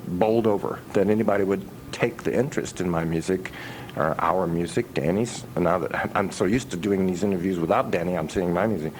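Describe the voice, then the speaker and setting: A man speaking as a musician in a casual radio interview, telling things in a halting way with pauses and restarts. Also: fairly low pitch. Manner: halting, pausing, trailing restarts. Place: radio interview with noise behind the voice. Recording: noisy radio aircheck recording.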